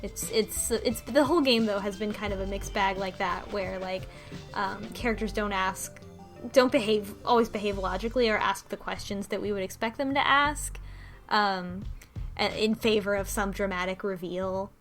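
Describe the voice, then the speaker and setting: A woman's voice: medium pitch, normal speed, a bit bright. A woman chatting casually in a group discussion, analytical and a bit sweary, saying like often. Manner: analytical, profane, uses like often. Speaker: book club podcast panelist. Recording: music playing underneath.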